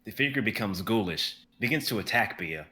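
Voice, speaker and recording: Bright, brisk young male narrator. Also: lowish voice, expressive. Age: young adult. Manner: brisk, vivid scene narration with occasional stumbles. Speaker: narrator reading stage directions. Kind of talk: live dramatic reading of a script.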